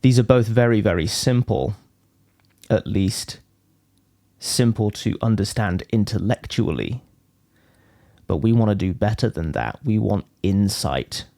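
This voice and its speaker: Slow, mellow man guiding meditation. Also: on the low side, lively intonation, vocal fry, no room sound, clean studio sound. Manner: measured, deliberate phrasing with frequent pauses. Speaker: meditation teacher. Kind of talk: guided meditation, spiritual teaching.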